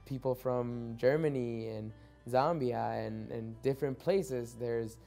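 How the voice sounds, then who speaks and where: Young male soccer player from Mexico, Spanish first language, dark even voice, faint music underneath. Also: medium pitch, slow, gravelly. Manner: reflective, repeats words as he restarts. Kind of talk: documentary interview.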